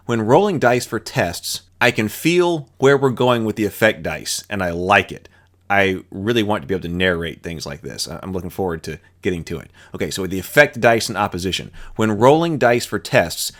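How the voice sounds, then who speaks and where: A man hosting a tabletop roleplaying lesson, reading rules aloud step by step with informal thinking-aloud asides. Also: lowish voice.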